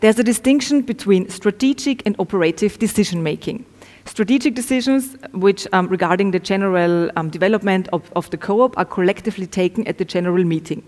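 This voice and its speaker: Young female researcher, careful and slightly halting academic talk, mid-pitched voice in a reverberant room. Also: a little mellow. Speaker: researcher presenting study findings. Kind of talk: prepared academic presentation. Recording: echoey, reverberant room sound.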